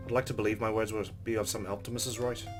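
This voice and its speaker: Male let's play host, low voice, rapid pace, reading story narration with commentary. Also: little pitch movement, music in the background.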